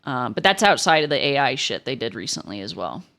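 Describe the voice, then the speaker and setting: A young woman with a crackly, lower voice, chatting frankly with stream viewers and swearing freely. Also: sing-song, brisk, a bit bright. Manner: frank, swears a lot, many restarts. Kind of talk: casual chat to stream viewers.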